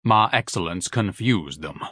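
A man's voice: on the low side, animated, unhurried, a bit bright, no room sound, clean studio sound. A man doing a pompous, snide grown son. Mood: haughty. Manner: haughty, elaborate, condescending diction.

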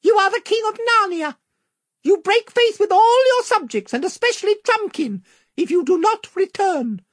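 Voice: extremely high pitch, animated, normal speed, a bit bright, no echo, clean studio sound, pitched above the performer's own voice. A reader voicing a valiant, courtly talking mouse knight who speaks with formal, solemn politeness. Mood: solemn, dutiful.

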